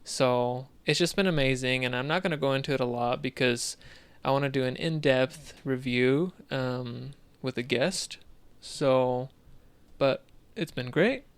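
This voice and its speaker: Regular guy voice, medium pitch, just chatting on a gaming podcast. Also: a little slow, clear, resonant tone, no room sound. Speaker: podcast co-host. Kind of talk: casual podcast chat about video games.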